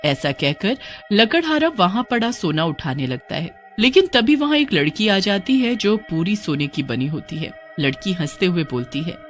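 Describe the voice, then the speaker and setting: Animated woman storyteller. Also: on the low side, normal speed, faint music behind. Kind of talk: scripted narration of a horror tale.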